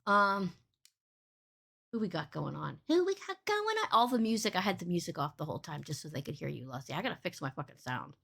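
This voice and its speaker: A woman hosting a casual livestream podcast, rambling cheerfully and swearing freely, with a big boomy voice. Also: very animated, a little fast, gravelly, a bit bright. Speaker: podcast host and streamer.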